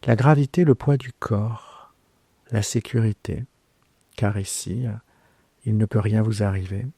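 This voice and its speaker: A man guiding a yoga nidra relaxation in a soft, breathy, hushed voice, unhurried, with long pauses and gently repeated phrases. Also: fairly low pitch, steady tone, dry recording. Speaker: yoga nidra guide, host. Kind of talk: slow guided relaxation meditation.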